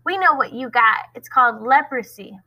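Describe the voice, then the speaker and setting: A woman voicing a bullying schoolboy who shouts jeering taunts in a raised voice. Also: fairly high pitch, animated, moderate pace, voice pushed up, exaggerated voice, poor audio. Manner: loud, jeering.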